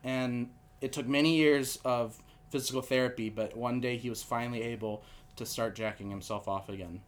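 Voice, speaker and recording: A man with a nasal voice hosting a casual comedy podcast, telling absurd stories deadpan with lots of fillers into a podcast mic. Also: mid-range voice, normal speed. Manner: rambling, deadpan absurd storytelling with many fillers. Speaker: podcast host. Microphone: podcast microphone.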